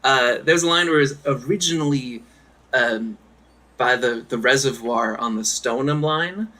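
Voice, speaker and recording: A man from the area, singing his own song over guitar and then chatting softly. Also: mid-range voice, normal speed, poor audio. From Boston area. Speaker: singer-songwriter guest. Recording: loud guitar music behind the singing.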